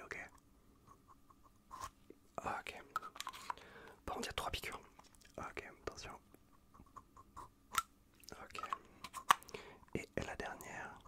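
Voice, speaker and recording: A man's voice: measured pace, long pauses. Whispering man, close-up ASMR. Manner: hushed, reassuring, repetitive, casual slangy talk. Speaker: ASMR performer playing an untrained medic. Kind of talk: whispered ASMR roleplay of a medical exam. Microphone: close USB condenser microphone.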